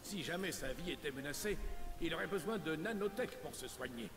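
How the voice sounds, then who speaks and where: An actor playing a pompous, grandiose storyteller narrating a heroic adventure in a video game. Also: male voice, fairly high pitch, expressive, fairly quick, natural voice. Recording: game audio under loud music.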